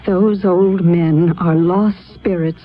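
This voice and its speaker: An actress playing a brisk, direct woman in a radio drama, earnest and composed in her delivery. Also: fairly low pitch, fairly even intonation. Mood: grave, earnest. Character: brisk, urgent female ally of a mystic. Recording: narrow tinny radio sound, faint music.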